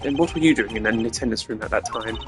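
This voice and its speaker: A man's voice: lowish voice, fast talker, lightly acted. A man voicing a stern, sardonic prosecutor in a mystery game, speaking formally, precisely and cuttingly. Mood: composed, probing. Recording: game music behind the voice.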